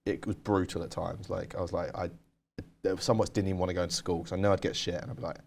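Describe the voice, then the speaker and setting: Young man, very low voice, candid storytelling, casual podcast chat. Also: little pitch movement, fast talker, vocal fry, a little hiss or hum. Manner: candid, storytelling, uses "like" a lot. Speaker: podcast co-host.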